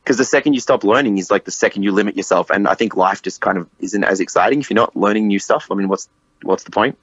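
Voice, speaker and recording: A man's voice: fairly low pitch, fast, fairly bright tone, husky, dry recording, dull audio. A man in his late twenties hosting a podcast, chatting casually and animatedly, swearing and laughing often. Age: 20s. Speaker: podcast host. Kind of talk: casual conversation.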